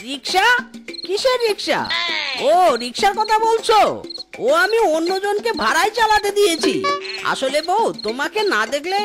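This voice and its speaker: A woman's voice: very high voice, very animated, normal speed. A woman voicing a doting, eager male fox husband in a cartoon, with a coaxing, repetitive way of talking. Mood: playful, coaxing. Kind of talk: exaggerated cartoon dialogue. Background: background music.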